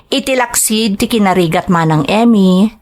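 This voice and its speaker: A woman narrates a drama in the form of a personal letter. The delivery is scripted, and her voice sits at an average female pitch. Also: normal speed, a little mellow.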